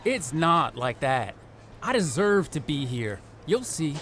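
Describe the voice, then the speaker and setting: A man playing an eager, talkative young American rookie wrestler in a video game cutscene, chatty and excitable. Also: fairly high pitch, slow pace, a little hiss or hum, exaggerated voice. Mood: offended.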